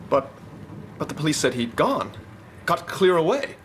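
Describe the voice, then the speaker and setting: An actor playing a blunt, urgent young baronet who is both frightened and commanding in a radio drama. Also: male voice, fairly high pitch, big pitch swings, normal speed, a little hiss or hum. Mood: astonished. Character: hot-headed young male baronet, frightened yet commanding.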